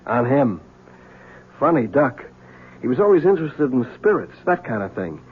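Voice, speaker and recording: Husky, cynical police lieutenant. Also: male voice, medium pitch, sing-song, normal speed. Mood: wry. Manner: teasing, slangy. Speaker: actor. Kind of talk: old-time radio crime drama acting. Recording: tinny, narrow old radio recording.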